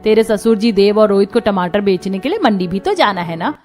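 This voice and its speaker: A woman's voice: medium pitch, expressive, fairly quick, a little mellow, close to an everyday voice. A woman playing a caring, practical middle-aged village mother-in-law in a cartoon story, motherly and instructing. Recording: music behind the voice.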